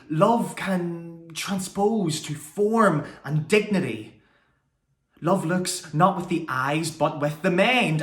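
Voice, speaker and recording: Man as rejected young lover, Shakespearean verse, aching and bitter, drawn-out phrasing, raised pitch. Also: a bit bright, echoey room. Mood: earnest, aching. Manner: deliberate, drawn-out delivery with weighted pauses.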